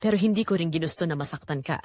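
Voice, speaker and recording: A woman's voice: low-pitched, racing, warm tone, no room sound, clean studio sound. A woman voicing a guilty middle-aged mother in an audio drama, pleading emotionally. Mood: remorseful.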